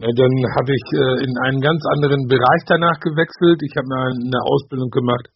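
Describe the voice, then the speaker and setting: A man's voice: medium pitch, fairly even intonation, a little fast, breathy edge, dry recording. A man who works as a social worker, stuttering with many fillers and restarts as a radio guest. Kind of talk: radio interview. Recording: dull lo-fi radio sound.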